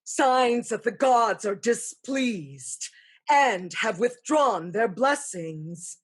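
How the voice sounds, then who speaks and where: Woman acting as ageless stage sorceress, very slow deliberate delivery, slightly high pitch, sly, regal, ambitious. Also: lively intonation, husky, full character voice. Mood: sly, scheming. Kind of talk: theatrical staged play reading.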